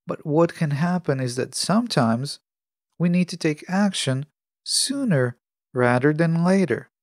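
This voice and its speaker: A man delivers a scripted solo podcast in a fairly high voice with plenty of vocal fry. He phrases his thoughts in a measured, deliberate way. The sound is close and very dry. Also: no echo. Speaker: podcast host. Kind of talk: scripted solo podcast monologue on self-help.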